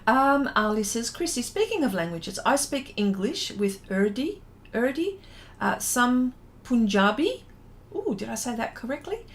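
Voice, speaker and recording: A woman's voice: fairly high pitch, very animated, unhurried. A middle-aged Australian woman chatting casually on a livestream, rambling and trailing off as she reads out and answers comments. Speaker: livestream host, artist. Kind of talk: casual livestream chat, reading out viewer comments.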